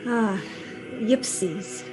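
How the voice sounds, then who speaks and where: A woman acting in a gothic radio drama as a mysterious, intense young lady, speaking in slow, formal period diction. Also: mid-range voice, low-quality recording. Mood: pained disdain. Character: mysterious intense young woman, secretly ancient, possessive in love. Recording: music behind the voice.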